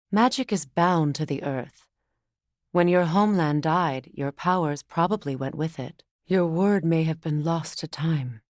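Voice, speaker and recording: An actress voicing a proud, battle-hardened Norse goddess in a video game, with a measured, commanding and dry manner. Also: female voice, mid-range voice, fairly bright tone, no room sound.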